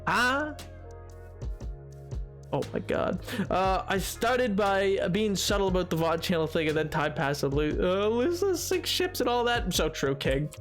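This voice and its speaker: A young man with a high, lively voice talks loosely to his stream chat while playing. He rambles, restarts his sentences and swings his pitch up and down a lot. Soft music plays behind him. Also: a little slow. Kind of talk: casual gaming stream chat.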